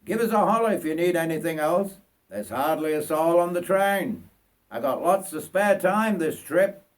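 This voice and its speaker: A man's voice: fairly high pitch, lively intonation, moderate pace, voice pushed up, close to an everyday voice. A man voicing a friendly, blunt train conductor in a storybook reading, with matey colloquial talk. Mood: helpful, chatty.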